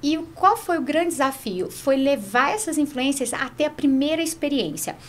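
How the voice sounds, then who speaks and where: A woman's voice: high-pitched, expressive, moderate pace, a little hiss or hum. A Brazilian woman guest telling her business story conversationally, acting out bits of dialogue as she goes. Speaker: podcast guest, business owner. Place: small padded podcast studio. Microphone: studio mic on boom arm.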